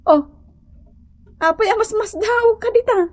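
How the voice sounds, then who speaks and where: A woman's voice: extremely high pitch, measured pace. An actress with an Ilocano accent playing a chastened wife trying to mend her ways in a radio drama, speaking hesitantly. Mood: mild, flustered.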